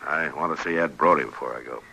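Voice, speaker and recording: A man acting a calm, authoritative frontier marshal in an old-time radio western, with an American accent and a very deep, gravelly voice. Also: fast talker, full character voice. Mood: brisk, in charge. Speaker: radio drama actor. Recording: vintage radio drama recording.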